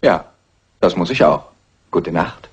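An actor playing a polite but sinister, insinuating gentleman in old film dub dialogue. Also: low voice, expressive, takes it slowly, gravelly, husky, full character voice. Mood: coolly insinuating. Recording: dull old film sound.

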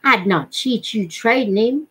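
Woman reading as a slow, folksy backwoods storyteller. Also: on the high side, animated, fairly bright tone, slightly rough, exaggerated voice. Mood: mild, sly. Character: small backwoods hunter father, mild, spellbinding storyteller.

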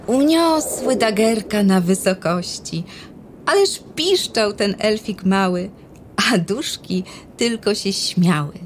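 Gentle woman reading a rhyming story. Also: medium pitch, a little hiss or hum. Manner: gentle, measured. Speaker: narrator. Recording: narration over music.

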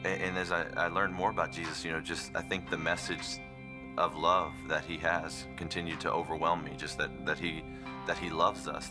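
Low-voiced guy sharing his testimony, lots of restarts, guitar music playing underneath. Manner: halting, many restarts and fillers. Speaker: testimony speaker. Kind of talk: personal testimony.

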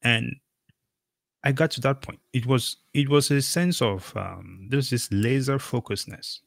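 A man's voice: mid-range voice, measured pace, gravelly, no room sound, studio quality. A middle-aged man from Cameroon hosting an interview over a video call, talking casually and emphatically with many fillers. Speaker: podcast host.

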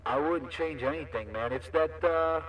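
A man talks over CB radio in casual, repetitive slang. His voice is bright and forceful, and it comes through a radio from some distance off the mic. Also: fairly high pitch, moderate pace. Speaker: CB radio operator.

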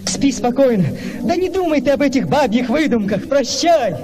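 An actor playing a lovestruck young Cossack lad in a radio play, telling tales expressively with dramatic suspense. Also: male voice, very high-pitched, moderate pace, crowd noise. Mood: tender farewell. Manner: expressive, hushed suspense rising to excitement.

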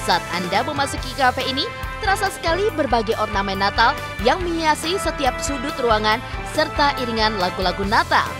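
A woman reading a scripted news voice-over in a lively, promotional way. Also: high-pitched, brisk. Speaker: news narrator. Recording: voice-over over loud music.

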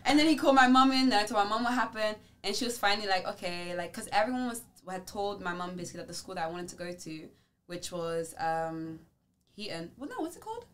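A young woman from the Netherlands co-hosting a podcast, telling stories in casual chat with an animated, laughing manner. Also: medium pitch, fast talker. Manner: animated storytelling with frequent laughs. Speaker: podcast co-host.

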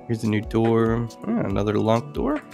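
A man's voice: fairly low pitch, very animated, normal speed, vocal fry, a little mellow, soft background music. A man giving casual gameplay commentary, musing and thinking aloud as he goes. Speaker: game streamer reviewing indie games.

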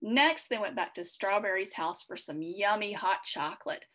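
A middle-aged woman reading a children's storybook aloud, expressive and clearly worded for young listeners. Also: mid-range voice, moderate pace. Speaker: read-aloud host for children. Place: small furnished room at home, indoors.

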